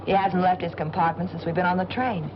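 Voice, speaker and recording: Actress as a bored, headstrong young woman, low-pitched, noisy film. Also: steady tone, fast, lightly acted. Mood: bored, chatty. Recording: dull, noisy old film soundtrack, train rumble.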